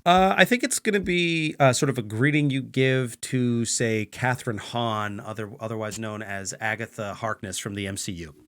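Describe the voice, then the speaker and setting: A man's voice: medium pitch, normal speed, few pauses, a bit bright. A man co-hosting a comedy podcast in casual banter, with an earnestly geeky manner.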